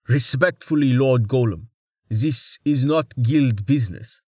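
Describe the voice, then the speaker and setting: A man's voice: mid-range voice, measured pace, darkish tone, husky, no echo, clean studio sound. A man voicing a winged bird-man nobleman who speaks in stiff, overly courteous formal phrases. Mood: pompous.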